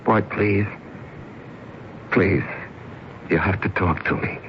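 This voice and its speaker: A man's voice: sits low, takes it slowly. An actor playing an anxious middle-aged family man who stammers and pleads insistently, in the style of 1970s radio drama. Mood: apologetic, pleading. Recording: muffled, noisy old radio broadcast.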